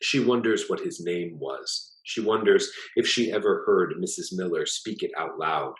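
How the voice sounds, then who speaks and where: A man reading a literary short story aloud as its author, in a measured, plain storytelling delivery. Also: deep voice. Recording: echoey, roomy sound.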